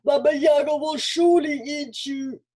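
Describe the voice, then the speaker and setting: A woman voicing an enchanted storybook house, moaning loud, drawn-out and foreboding warnings. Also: high-pitched, fairly even intonation, voice pushed up, close to an everyday voice. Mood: ominous warning. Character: moaning magical house on chicken legs, ominously warning.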